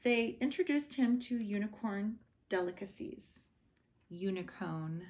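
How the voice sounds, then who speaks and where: A woman's voice: mid-range voice, animated, measured pace, darkish tone. A woman reading a children's picture book aloud as a bedtime story, expressively and with short asides. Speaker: storybook reader.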